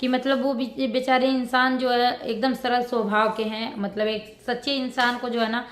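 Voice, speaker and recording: A woman giving casual reaction commentary to her viewers, rambling and halting as she circles back over her points. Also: fairly high pitch, little pitch movement. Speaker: vlogger. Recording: roomy echo with background noise.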